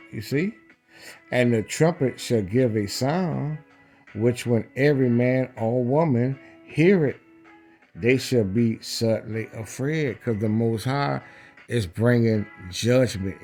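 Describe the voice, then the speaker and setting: A man's voice: mid-range voice, darkish tone. A man preaching in a scripture read-along, emphatic, repeating phrases and checking in with rhetorical questions.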